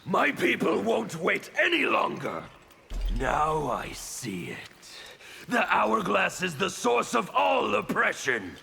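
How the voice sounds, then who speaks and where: An actor playing a fanatical, defiant anarchist villain in a fighting game, with a strained, theatrical delivery. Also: male voice, high voice, huge pitch swings, takes it slowly, pushed and bright, exaggerated voice. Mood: furious. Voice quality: gravelly, strained. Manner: theatrical, declamatory. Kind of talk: fighting game character dialogue. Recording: long reverb, faint background noise.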